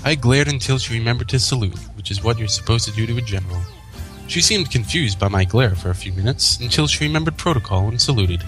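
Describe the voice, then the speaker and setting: A man's voice: medium pitch, lively intonation, fast talker, a bit bright, poor audio. A man co-hosting a fan fiction reading, narrating the story aloud. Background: faint music.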